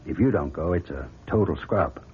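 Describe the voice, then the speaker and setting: An actor playing an emphatic, commanding male astronaut commander in a radio drama, with a husky voice. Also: low-pitched, expressive, moderate pace, slight background noise. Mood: serious. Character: male astronaut commander, husband, exasperated but steady. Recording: muffled radio drama recording.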